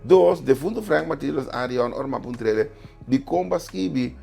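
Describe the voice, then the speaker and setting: A man's voice: mid-range voice, animated, moderate pace, vocal fry, dark tone, faint music behind. A man appearing as a writer and guest on a talk show, arguing his points emphatically. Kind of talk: studio talk show discussion.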